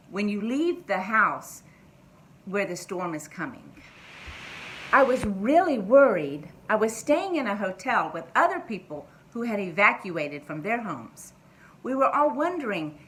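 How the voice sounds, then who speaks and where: A woman guest telling a personal story to children, in simple words with expressive delivery. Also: medium pitch, poor audio.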